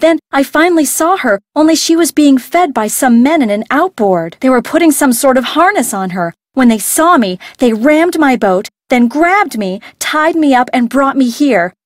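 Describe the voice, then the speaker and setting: A friendly high-voiced boater. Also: female voice, expressive, normal speed, hardly any pauses. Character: friendly young woman boat owner. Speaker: game character. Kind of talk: game voice lines with alternate takes.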